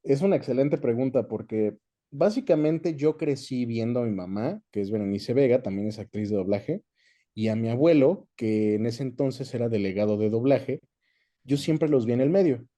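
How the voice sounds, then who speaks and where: A man's voice: medium pitch, moderate pace, dark tone. A young Mexican man chatting easily as an interview guest, reminiscing about his work in a casual way. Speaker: guest, voice actor.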